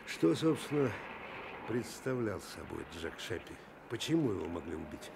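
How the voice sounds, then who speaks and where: A low, gravelly, commanding police detective. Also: male voice, very animated, moderate pace. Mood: probing, sceptical. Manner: terse, commanding, questioning. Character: brisk, authoritative male police detective, businesslike and sceptical. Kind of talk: Soviet-style radio drama acting. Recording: noisy old radio drama recording.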